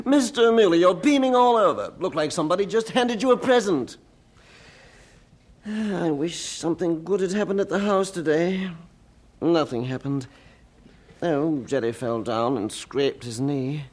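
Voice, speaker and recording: An actor playing a humble, good-natured orphanage helper with a folksy, rambling, chatty manner in an old radio drama. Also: male voice, fairly high pitch, lively intonation, measured pace. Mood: amused.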